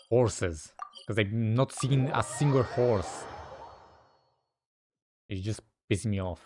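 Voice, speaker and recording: A man's voice: on the low side, darkish tone. A man giving casual, informal gameplay commentary as a streamer, muttering his reactions. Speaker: video game streamer. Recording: game music behind the voice. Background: game music.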